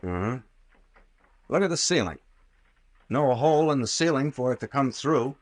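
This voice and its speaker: An actor playing a brash, sardonic captain and investigator with folksy slang in an old-time radio drama. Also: male voice, medium pitch, animated, normal speed, no room sound, natural voice. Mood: wry, probing. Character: gruff wisecracking sea captain playing armed investigator.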